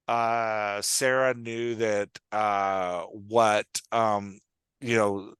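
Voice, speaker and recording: A man's voice: on the low side, sharp tone, clear, resonant tone, no room sound. A man hosting a podcast as a writing coach, explaining conversationally, thinking aloud and often checking that he is understood.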